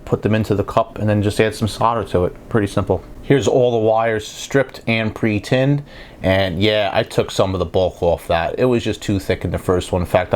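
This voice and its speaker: A man's voice: fairly low pitch, a little hiss or hum. A man giving a casual, hands-on repair tutorial as a technician, talking in a plain-spoken, conversational and explanatory way.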